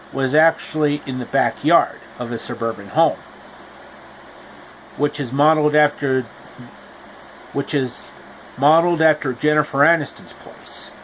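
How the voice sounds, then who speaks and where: Slow, rambling male storyteller. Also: on the high side, a little hiss or hum, rough recording. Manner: loose, rambling narration with restarts. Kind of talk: casual improvised story retelling.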